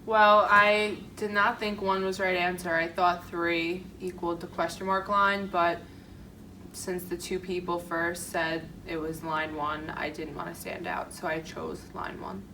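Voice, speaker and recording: A woman explains her choice in a flat, even delivery with a bright edge and a fairly low voice. She sounds off-mic, with faint noise behind her. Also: unhurried, distant mic, poor audio. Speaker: mock experiment participant.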